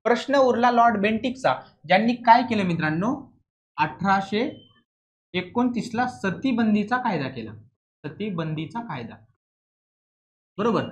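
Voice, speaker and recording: A high-pitched man lectures as an exam coaching teacher, stressing and repeating key points and checking understanding with questions. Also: sing-song, a little slow, pauses often. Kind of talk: classroom lecture explaining answers.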